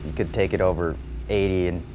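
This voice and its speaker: A man's voice: sits low, steady tone, a little fast, background noise. A man talking casually and informally as he shows off his motorcycle. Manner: informal, plain-spoken. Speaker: motorcycle owner. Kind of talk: casual show-and-tell.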